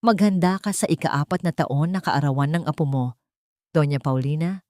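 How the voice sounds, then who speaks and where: A woman plays a cold, powerful heiress in an audio drama. Her low voice stays soft and controlled with quiet menace, recorded dry. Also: normal speed, no room sound, close to an everyday voice.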